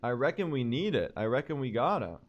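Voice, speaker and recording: A young man streaming speedruns, talking casually and excitably to his chat with a hoarse, worn-out voice and lots of rambling restarts. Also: medium pitch, brisk, muffled recording. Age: young adult. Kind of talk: casual livestream chat to viewers.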